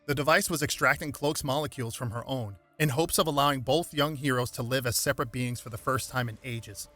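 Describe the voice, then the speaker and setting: A man's voice: medium pitch, brisk, bright, forceful tone, faint music behind. A man reading a superhero story aloud as scripted dramatic narration.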